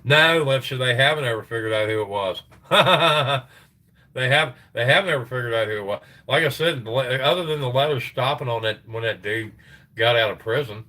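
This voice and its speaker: A middle-aged man hosting a casual livestream, reading sources aloud and chatting loosely with his viewers. His voice is getting tired and hoarse. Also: medium pitch, normal speed, bright, forceful tone. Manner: rambling, reads sources aloud, chats with viewers. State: voice tiring, gets hoarse. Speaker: livestream host.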